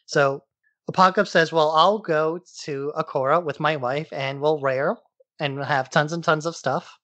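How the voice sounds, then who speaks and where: A man's voice: a little high, fairly even intonation, unhurried, fairly bright tone, no echo. A man hosting a podcast, giving a casual, informal recap in loose everyday language. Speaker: podcast host. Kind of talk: casual comic-book recap.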